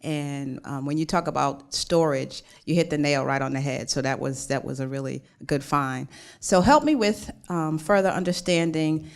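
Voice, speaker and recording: A woman's voice: sits low, normal speed. A woman judging at a conference forum, asking the presenter questions in a friendly, encouraging way. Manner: friendly, encouraging, loses her train of thought. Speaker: forum judge. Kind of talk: panel questioning. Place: conference hall.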